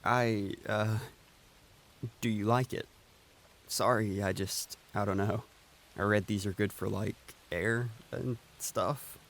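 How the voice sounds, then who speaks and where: A man playing a gentle, anxious man in his late twenties in an audio drama, speaking in an awkward, halting, earnest way. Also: on the low side, fairly even intonation. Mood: nervous, shy.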